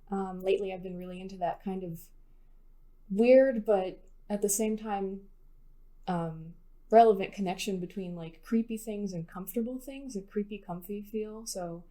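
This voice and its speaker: A woman's voice: medium pitch, warm tone. A woman poet answering interview questions in a reflective, informal, conversational way. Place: recording studio.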